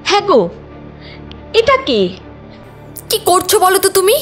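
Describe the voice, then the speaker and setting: Woman playing young cartoon wife, high voice, pleading, light music under it. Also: expressive, a little slow. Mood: anxious.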